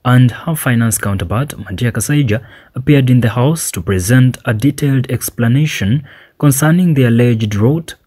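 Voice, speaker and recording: A man with a Ugandan accent and a husky voice, reading a scripted television news report. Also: mid-range voice, normal speed, darkish tone.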